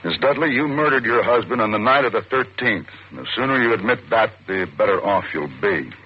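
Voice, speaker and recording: An actor playing a stern, forceful American deputy district attorney in an old-time radio suspense drama, commanding, clipped and formal. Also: male voice, on the low side, lively intonation, a little fast, natural voice. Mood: stern, pressing. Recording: muffled, noisy old radio recording.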